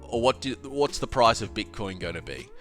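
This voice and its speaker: Male host, lowish stuffy voice, animated casual market talk, faint music behind. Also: normal speed. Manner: animated, emphatic, with filler and restarts. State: congested, slightly nasal. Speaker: crypto trading educator. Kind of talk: casual solo video talk.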